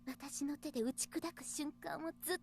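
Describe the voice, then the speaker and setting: Cruel young female schemer, high voice, breathy gloating purr, visual novel game acting, faint noise. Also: fairly even intonation, fast talker. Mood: rapturous cruelty.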